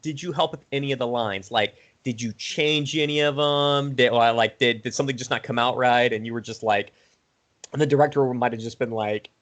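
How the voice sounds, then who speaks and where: A man's voice: medium pitch, a little fast, fairly bright tone. A man hosting a casual interview, talking informally with a fan's enthusiasm.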